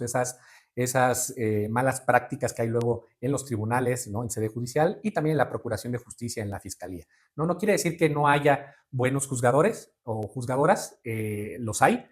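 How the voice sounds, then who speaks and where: A man in his forties from Veracruz, Mexico, with a Mexican Spanish accent, giving measured, formal interview answers with frequent fillers and tag questions. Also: mid-range voice. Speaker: judicial candidate guest.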